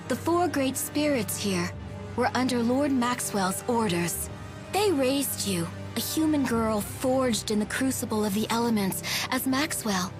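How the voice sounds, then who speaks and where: An actress playing a haughty, duty-bound female spirit in a video game, speaking formally and imperiously with precise diction. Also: fairly high pitch. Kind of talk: dramatic video game voice acting.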